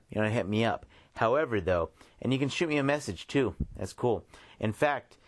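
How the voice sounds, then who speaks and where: Chill guy podcast host, lowish voice, dry sound, talks in short halting bits. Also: dry recording. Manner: laid-back, halting delivery in short phrases. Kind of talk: casual solo talk.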